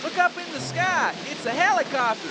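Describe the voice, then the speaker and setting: A man's voice: very high-pitched, animated, normal speed. An actor playing an agitated male boat passenger in a radio drama, talking in an urgent, jumpy manner. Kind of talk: old-time style radio drama acting. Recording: noisy storm and water effects behind.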